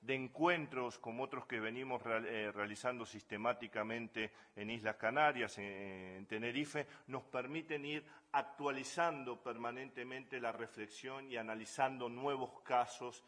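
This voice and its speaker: Slow-paced Argentine man lecturing. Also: mid-range voice, steady tone, sharp tone. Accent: Argentine Spanish. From Buenos Aires, Argentina. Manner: measured, hesitant with frequent fillers. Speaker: conference speaker. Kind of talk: academic lecture.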